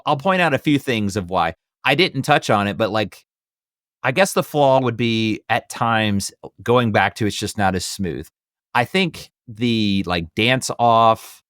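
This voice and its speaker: A man's voice: fairly low pitch, fairly bright tone, no echo, clean studio sound. A man hosting a movie review podcast in casual conversation, often hedging and circling back over his words. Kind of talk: casual film review chat.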